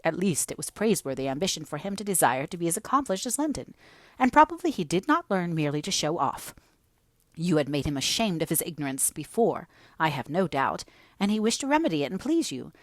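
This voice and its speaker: A woman's voice: fairly low pitch, big pitch swings, fairly quick, no room sound, studio quality. Woman playing an earnest, scolding female servant. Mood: earnest reproach. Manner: earnest, reproving. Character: stern, caring older female servant, scolding.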